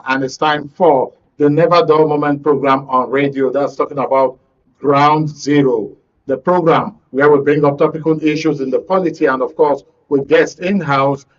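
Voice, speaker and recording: Nigerian male host, slightly dull audio. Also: a little high. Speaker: radio and TV host. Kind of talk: current affairs show introduction. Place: radio and TV studio. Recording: dull, band-limited broadcast audio.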